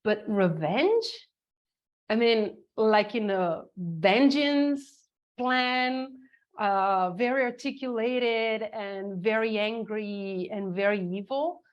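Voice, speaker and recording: Slow, hesitant woman on muffled call audio. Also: medium pitch. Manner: hesitant, searching for words. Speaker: conference participant asking a question. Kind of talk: informal academic discussion. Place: virtual conference call. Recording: dull, lo-fi video call audio.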